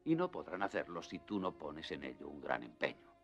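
An actor voicing a gruff but gentle old grandfather in a cartoon dub, with an earnest, measured delivery. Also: very deep voice, huge pitch swings, soft background music, full character voice. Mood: earnest, encouraging. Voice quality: gravelly. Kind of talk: dubbed cartoon acting.

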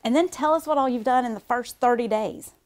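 A woman's voice: a little high, fairly quick, darkish tone. A woman in her forties chatting casually and enthusiastically as she leads a tour of her home renovation, filmed by a camera a few steps away in a bare-framed basement. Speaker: homeowner and show host. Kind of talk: casual interview chat. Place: gutted basement under renovation, indoors. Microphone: far camera.

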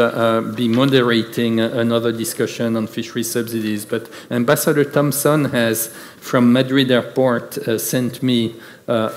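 Hesitant man moderating in a big hall. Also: medium pitch. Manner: hesitant, many fillers and restarts. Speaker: panel moderator. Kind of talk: conference panel moderation. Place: large conference hall with audience. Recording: reverberant conference hall.

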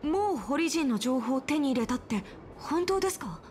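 Video game role: earnest young male subordinate, high-pitched, inquisitive, light background noise. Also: big pitch swings, normal speed, darkish tone. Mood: questioning, eager. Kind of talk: Japanese video game voice acting.

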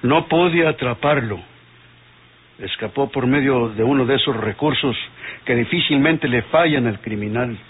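A man's voice: medium pitch, unhurried, natural voice. An actor playing a weary, stern, sardonic middle-aged father and lawman in an old radio soap. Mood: weary, bitter. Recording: tinny old radio recording, faint noise.

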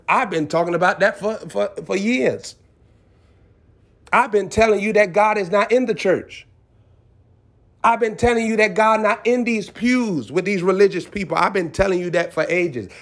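A man preaching as a self-styled prophet in an impassioned exhortation, emphatic and repeating short phrases for effect. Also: sits high.

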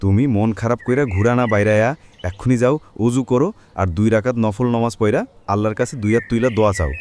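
A man's voice: fairly low pitch, steady tone, fast, natural voice. A man with a rural Bangladeshi accent playing a kind, devout village friend in a cartoon, earnest and reassuring. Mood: consoling. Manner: earnest, consoling, gently exhorting. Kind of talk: cartoon voice acting. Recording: faint background noise with birdsong.

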